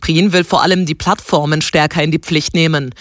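A woman delivering a scripted radio news report in a brisk, clear way. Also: low-pitched, fairly even intonation, a bit bright, no echo, studio quality.

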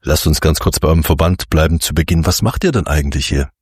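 A man hosting a radio feature, narrating with lively emphasis and interviewing guests. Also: very deep voice, brisk, breathy edge, no echo, clean studio sound. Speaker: radio host and reporter.

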